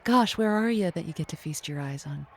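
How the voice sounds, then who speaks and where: An actress playing a polite, slightly awkward host of a radio advice show in a comedic audio drama. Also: female voice, deep voice, animated, brisk, darkish tone, husky, full character voice. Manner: hesitant, flustered, polite.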